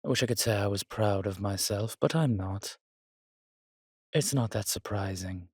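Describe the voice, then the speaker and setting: A man's voice: fairly low pitch, normal speed, slightly rough, no room sound, studio quality. A man playing a suave, weary vampire with courtly, fond phrasing in an intimate roleplay audio drama. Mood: rueful. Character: weary, suave male vampire, protective and darkly charming.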